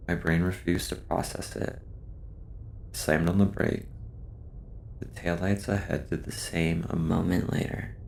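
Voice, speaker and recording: A man reads a scripted first-person horror story in a measured, subdued way, with a deep, gravelly voice. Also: level tone, a little mellow, a little hiss or hum. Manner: slow, measured, subdued delivery.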